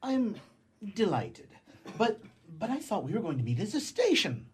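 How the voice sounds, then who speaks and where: A man's voice: sits high, wildly swooping pitch, normal speed, slight background noise. A man acting a love-struck, cynical young man in a comedy radio play, theatrical and florid, blustering and stammering. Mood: flustered.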